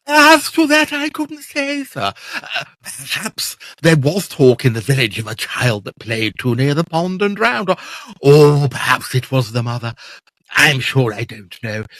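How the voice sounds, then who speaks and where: A man's voice: medium pitch, big pitch swings, a little slow, fairly bright tone, dry recording. Man playing a lilting, deferential butler. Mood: evasive, hesitant. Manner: hesitant, formal, obsequious. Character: deferential, evasive butler, nervously discreet and gloomy. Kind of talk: period audio drama acting.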